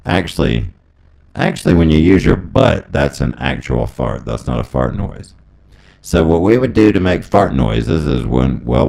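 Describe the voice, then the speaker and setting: A man giving a rambling, deadpan comedy monologue through a voice transformer that makes him sound deep and gravelly. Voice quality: electronically deepened, gravelly. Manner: deadpan, absurd musing. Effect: voice transformer pitch shifter. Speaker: comedy performer.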